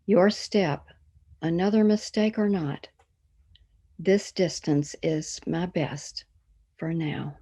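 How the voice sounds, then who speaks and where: A woman giving a solemn, poetic reading of a meditation, measured, with pauses after short phrases. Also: on the low side, dark tone, husky. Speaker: reader of a church meditation.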